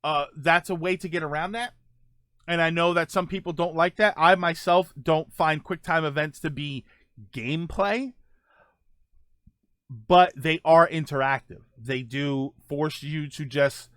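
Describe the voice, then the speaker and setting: A man with a slightly high voice, recorded close and dry. He hosts a gaming show in a casual, conversational style, rambling a little as he thinks aloud and answers viewer comments. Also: measured pace, fairly bright tone, smooth, no room sound. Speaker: host of a gaming show, streamer.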